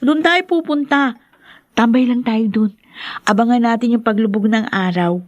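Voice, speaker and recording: An actress playing an eager, persuasive teenage school friend with a coaxing manner in a radio drama. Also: female voice, a little high, moderate pace, dry recording, muffled recording, natural voice. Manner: coaxing, youthful.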